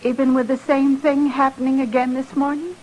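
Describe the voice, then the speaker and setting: A woman's voice: high-pitched, flat delivery, a little fast, a little mellow, close to an everyday voice. A woman playing a polite but guarded, insistent rancher's wife with a rural American accent in an old-time radio western, plain-spoken in manner. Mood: protesting. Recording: noisy vintage radio drama recording.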